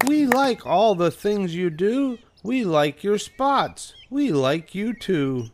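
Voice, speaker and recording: Older man as curious storybook kid, raised pitch, lively swoops, singsong exclaiming. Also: heavily acted. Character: curious, skeptical, then admiring young children. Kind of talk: picture book read-aloud.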